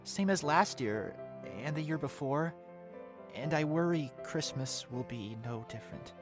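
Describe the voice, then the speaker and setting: A man's voice: a little high, lively intonation, unhurried, over music. A young man playing a dutiful, lonely teenage son in a fan audio drama, polite and earnest. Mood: downcast.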